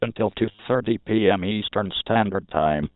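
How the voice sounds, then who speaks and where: A man's voice: lowish voice, moderate pace. A mechanical-sounding man reading a scripted emergency weather warning in a formal, clipped way, as an alert announcer. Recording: muffled phone-like radio broadcast with noise.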